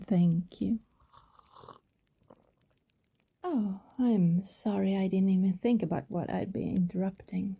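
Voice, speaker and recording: Woman acting as affectionate female vampire, very husky, whispery, gentle, hesitant, ASMR roleplay, slightly muffled recording. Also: mid-range voice, a little slow, heavily acted. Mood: polite, apologetic. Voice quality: breathy, often dropping to a whisper. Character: coy, affectionate female vampire posing as friendly neighbor. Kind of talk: intimate ASMR roleplay, soft and conversational.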